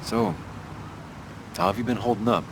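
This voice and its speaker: A man's voice: fairly low pitch, normal speed, background noise, lightly acted. An actor playing a guarded, dry-witted American journalist in his thirties, understated, in naturalistic video game style. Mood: guarded, friendly.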